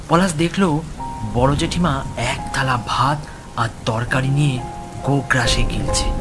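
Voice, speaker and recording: A man tells a horror story in a dramatic, suspenseful style. His middle-pitched voice moves slowly in short phrases, with music, rain and thunder behind it. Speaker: story narrator. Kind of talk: dramatic scripted horror narration.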